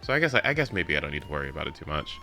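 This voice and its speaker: A man's voice: rumbling low, very animated, racing, gravelly, pushed and bright. A young man chatting casually and rambling on a video game livestream. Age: young adult. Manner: rambling, informal. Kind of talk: casual live stream chat. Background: game music.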